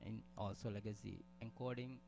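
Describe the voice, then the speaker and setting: Gravelly Sri Lankan man presenting. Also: fairly low pitch, fairly even intonation, a little fast, background noise. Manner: hesitant, many fillers and restarts. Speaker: conference speaker. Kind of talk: conference presentation.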